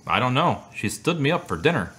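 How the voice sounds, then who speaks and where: A man acting a mild, apologetic, dryly self-pitying boyfriend in a low-key radio drama performance. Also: big pitch swings, a little fast, sharp tone. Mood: deflated. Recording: clearly noisy, clicky amateur recording.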